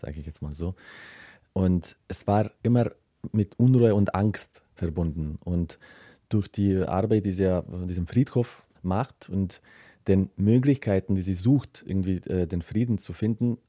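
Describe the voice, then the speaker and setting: A male writer answering interview questions. His voice is low and extremely mellow, recorded close and dry, and he searches hesitantly for his phrasing. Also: dry recording. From former Yugoslavia.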